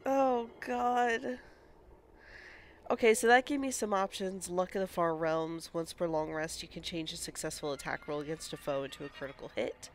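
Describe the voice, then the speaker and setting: Stuffy-voiced woman, low and relaxed. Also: moderate pace, a little hiss or hum. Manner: reads game menus aloud and muses over options. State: stuffy with a cold. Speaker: gaming channel host. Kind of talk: casual let's-play commentary.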